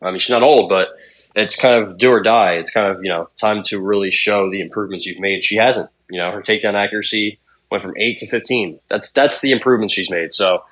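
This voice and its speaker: Young man, lowish voice, laid-back, rambling, casual swearing, podcast co-host. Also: brisk, a bit bright. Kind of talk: casual sports chat.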